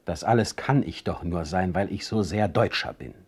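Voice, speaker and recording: A man's voice: low voice, moderate pace, breathy edge, no room sound. An actor playing a proud, ironic, brooding poet in a radio play, a man talking in a rhetorical, theatrical manner. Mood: defiant, ironic. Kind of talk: German radio play acting, letter monologue.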